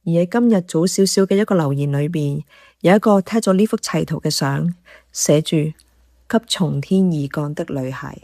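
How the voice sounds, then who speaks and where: A woman's voice: deep voice, normal speed, mellow, no echo, clean studio sound. A woman narrating a radio drama in the first person as an observant café worker, in a scripted storytelling style. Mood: intrigued.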